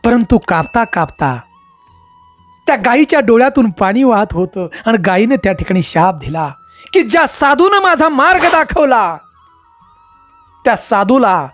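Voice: medium pitch, very animated, measured pace, soft background music. A storyteller voicing a patient, learned male astrologer, explaining past-life karma emphatically and repetitively. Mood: grave, revealing.

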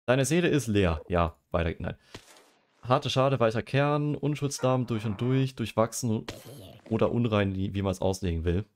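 A man's voice: on the low side, lively intonation, moderate pace, slight background noise. A young man streaming a game, chatting casually and joking while he reads quiz questions aloud and muses over his answers. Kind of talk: casual livestream chat while gaming.

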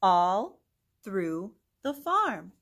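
A young adult woman hosting a children's read-along, reading a picture book aloud in an exaggerated, animated manner for small children. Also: mid-range voice, drawn-out words. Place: small indoor room with bookshelf.

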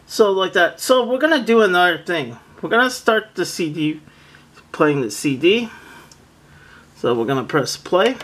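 A man casually demonstrating a product hands-on, narrating step by step in a plain, halting way. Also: lively intonation. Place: indoors, close tabletop setup. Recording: music from a boombox behind the voice. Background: loud music from the boombox.

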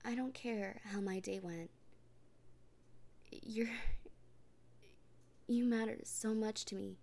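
A woman in a soft, gently reassuring comfort roleplay, often speaking in a whisper. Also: mid-range voice, fairly even intonation, slow pace, creaky. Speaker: roleplay performer speaking as a partner.

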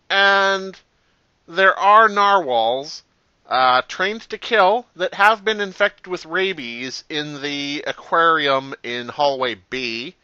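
A muffled, lo-fi, phone-like recording of a man putting on a nervous pizzeria employee for a horror-game parody. His voice is fairly high and quite bright, with very little room sound. He rambles haltingly, hesitating as he offers hollow reassurance to a doomed night guard. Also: clean tone, no room sound, full character voice. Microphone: cheap replacement microphone. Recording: dull lo-fi cheap microphone, telephone-like.

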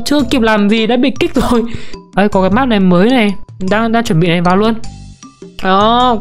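A young woman giving casual, playful game commentary to her viewers, giggly and often repeating words in quick bursts. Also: fairly high pitch. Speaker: gaming video creator. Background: music.

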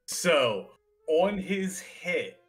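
A man's voice: a little high, very animated, drawn-out words, fairly bright tone, soft background music. A man chatting casually as a player in a tabletop roleplaying game, joking and swearing freely. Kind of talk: casual chat while playing.

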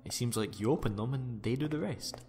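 A man's voice: medium pitch, a little fast, a little mellow, slight background noise, natural voice. An actor playing an upbeat, eccentric male time-traveller who speaks lightly, in an amateur audio drama. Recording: reverberant hall with music.

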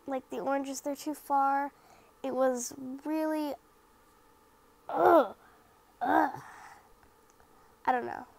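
High-voiced stuffy young woman rambling. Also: steady tone, takes it slowly, creaky, long pauses, darkish tone, dry recording. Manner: rambling, self-critical, lots of "like" and fillers. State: slightly stuffy from the sniffles. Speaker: craft vlogger. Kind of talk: casual voice-over narration of a craft video.

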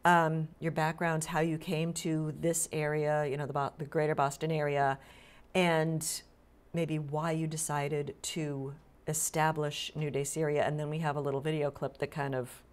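A woman's voice: low voice, level tone, moderate pace, hardly any pauses. A woman hosting a studio interview, asking questions with friendly, brief prompts. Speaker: talk show host. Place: TV studio set.

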